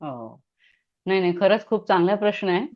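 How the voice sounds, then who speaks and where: The speaker is a middle-aged woman with a mid-range voice, recorded with a dull, lo-fi sound. She tells stories conversationally as an interview guest. Her delivery is animated, as she acts out bits of what people said. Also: medium pitch, normal speed.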